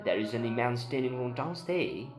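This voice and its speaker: A man's voice: mid-range voice, expressive, normal speed. A young man giving an amateur dramatic reading of a play. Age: young adult. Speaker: student reader of all parts. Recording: voice over prominent background music.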